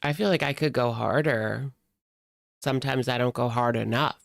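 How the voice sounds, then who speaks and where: A woman with a gravelly, fry-heavy voice talks through casual reaction commentary. She is sassy and slangy, and the sound is dry and close, with hardly any room on it. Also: extremely low pitch, moderate pace, no echo. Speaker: reaction channel host.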